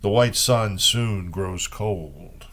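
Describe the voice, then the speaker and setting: Slow-paced man reading poetry aloud. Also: on the low side, a bit bright. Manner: unhurried, pausing between short phrases. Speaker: poetry reader and host. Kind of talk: poetry reading with brief spoken commentary.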